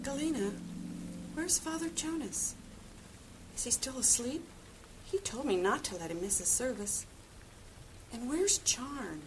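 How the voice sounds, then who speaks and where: Woman playing a kindly, lively-pitched temple woman. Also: a little slow, long pauses, rough recording. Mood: concerned. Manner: gently directing, caring. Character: kindly, organizing temple woman in fantasy town. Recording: faint background ambience noise.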